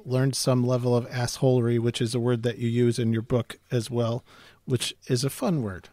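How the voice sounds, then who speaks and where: A middle-aged man hosting an interview, asking questions in a relaxed conversational way. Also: medium pitch, normal speed. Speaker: host.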